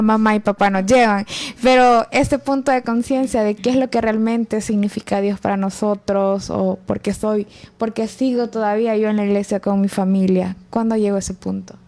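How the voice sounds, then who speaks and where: Una joven adulta con acento salvadoreño presenta un programa cristiano y hace preguntas con cortesía, entre pausas y muletillas. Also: female voice, on the high side, level tone, darkish tone. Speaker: host.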